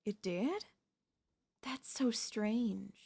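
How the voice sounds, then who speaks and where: Woman voice acting a clingy devoted wife, asmr roleplay style, kinda high voice, really slow n halting. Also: vocal fry, warm tone, breathy edge, full character voice. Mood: puzzled, suspicious. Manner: slow, deliberate, halting delivery with dramatic pauses. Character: devoted wife, shocked then eerily composed, quietly possessive. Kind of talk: ASMR audio roleplay drama.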